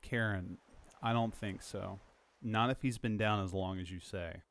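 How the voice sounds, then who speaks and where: Low-voiced, skeptical young man. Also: steady tone, a little slow, a bit bright. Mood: doubtful. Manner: skeptical, casual. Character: skeptical, bewildered young man, everyday helper. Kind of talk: audio drama acting.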